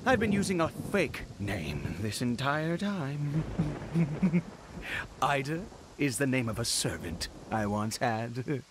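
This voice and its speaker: Theatrical, fanatical nobleman, wildly expressive. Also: mid-range voice. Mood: elated, sly. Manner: theatrical, slow, swinging between hushed and exultant. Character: grief-stricken, fanatical nobleman father devoted to a dark power. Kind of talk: dramatic video game voice acting. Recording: loud rain and thunder noise behind.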